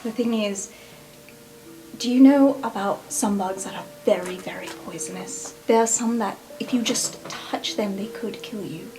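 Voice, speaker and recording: A woman voicing a gentle, earnest adventurer in a tabletop game, explaining softly and patiently as if to a child. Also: medium pitch, moderate pace, faint music behind, lightly acted, poor audio.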